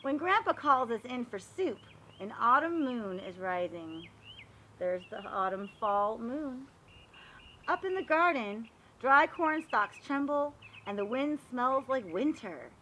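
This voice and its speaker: A woman reading a picture book aloud to young children, animated and expressive, pausing to explain and ask questions. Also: on the high side, a little hiss or hum. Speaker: read-aloud storyteller for children.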